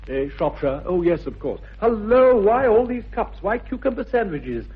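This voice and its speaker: An actor playing an earnest young Victorian gentleman with an upper-class English accent, urbane but easily flustered, in a 1960s British radio comedy style. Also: high-pitched, big pitch swings, moderate pace, slightly rough, heavily acted. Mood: eager, dry. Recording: dull old radio recording.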